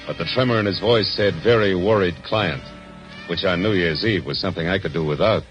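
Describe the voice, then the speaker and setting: An American man acting a wry, hardboiled private detective in a 1940s radio drama, deadpan and clipped, on an old recording. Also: low voice, fairly quick. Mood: wry storytelling. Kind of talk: 1940s radio drama acting, first-person noir narration. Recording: muffled old-time radio recording with music.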